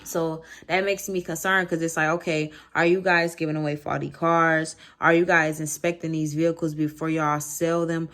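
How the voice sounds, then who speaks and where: Woman, American accent, low pitch, casual vlog chat, slangy, conversational storytelling, animated asides. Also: moderate pace, hardly any pauses. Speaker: vlogger telling a story.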